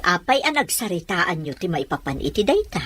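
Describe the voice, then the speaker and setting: A woman's voice: on the low side, sing-song, a little fast, a bit bright, slight background noise. A woman playing a concerned, probing mother in an audio drama. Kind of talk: Ilocano audio drama dialogue.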